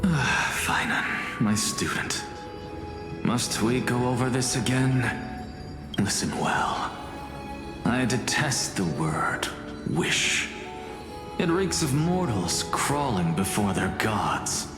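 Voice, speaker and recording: A man voicing an arrogant, scornful scholar and teacher in a fantasy game, pompous, theatrical and measured. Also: medium pitch, sing-song. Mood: weary. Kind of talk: dubbed fantasy game voice acting. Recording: long hall reverb, music behind.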